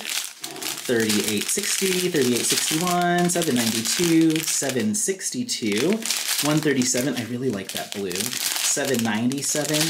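Chatty woman in an echoey, noisy room. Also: extremely low pitch. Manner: chatty, thinking aloud. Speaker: craft unboxing vlogger. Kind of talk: casual unboxing commentary. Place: indoors at a craft table. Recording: roomy, echoey sound with background noise. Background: crinkling plastic bags, clicks.

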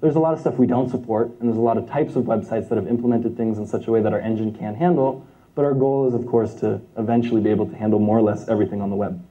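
A man's voice: on the low side, steady tone, rapid-fire, dark tone, muffled recording. A young man presenting a technical talk with a live demo on a conference stage, explaining step by step and often checking in with "okay?" and "right?". He speaks into a lapel mic. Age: young adult. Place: large conference hall stage with projector.

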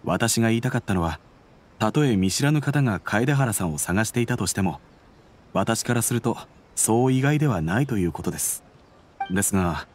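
Polite, fairly low-voiced detective. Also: male voice, darkish tone, slightly rough. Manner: formal, deferential, measured explanation. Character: polite, earnest male detective agency employee. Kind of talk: Japanese anime game dialogue. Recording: faint music under the voice.

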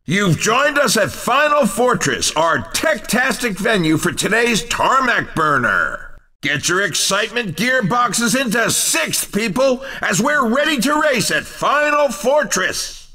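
Very high-pitched, excitable race commentator. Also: male voice, measured pace, distant mic, heavily acted. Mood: excited, welcoming. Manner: showy, punning, booming announcer delivery. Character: zany, excitable male race commentator in a cartoon racing game. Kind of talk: excited arcade sports commentary.

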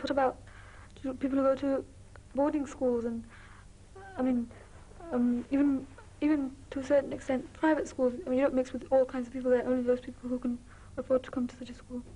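High, mellow British teenage girl. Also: little pitch movement, quick pace, a little hiss or hum, rough recording. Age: teens. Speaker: student interviewee. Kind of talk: informal opinion interview. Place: small indoor classroom.

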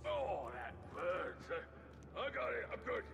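A boisterous orc brute rasps at a very high pitch, full of gravel. His voice leaps wildly through rough exclamations, over a noisy game backdrop. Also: big pitch swings, unhurried, full character voice. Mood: rowdy. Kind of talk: video game cutscene acting.

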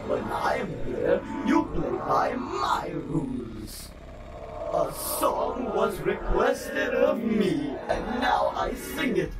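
A sinister phantom musician machine, gives bossy singsong lines in a high voice. It is slow and distant under loud music. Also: male voice, wildly swooping pitch, full character voice. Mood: gleeful menace.

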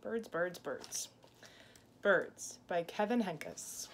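Slow-paced woman reading to young children. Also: fairly low pitch. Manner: gentle teacherly questioning, simple explanations. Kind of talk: picture book read-aloud with asides to children. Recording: clear background room noise.

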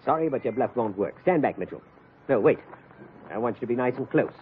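A man's voice: mid-range voice, lively intonation, fairly quick, a little hiss or hum, natural voice. A man acting a smug radio villain, muffled recording. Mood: smug menace. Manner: taunting, mock-polite. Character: smug, cold-blooded male impostor villain with a gun. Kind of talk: old-time radio thriller acting.

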